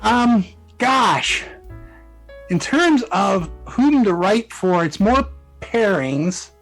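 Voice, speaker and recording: A man being interviewed, chatting casually as a guest and explaining things in a halting, self-interrupting way. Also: high-pitched, big pitch swings, creaky, fairly bright tone. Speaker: interview guest, fan fiction author and musician. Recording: music playing behind the voice. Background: music.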